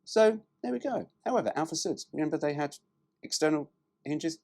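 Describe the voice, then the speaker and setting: A man's voice: a little high, animated, a little fast, creaky, a little mellow, slight background noise. A man reading a brochure aloud as a presenter, dropping in cheeky, irreverent, sweary asides.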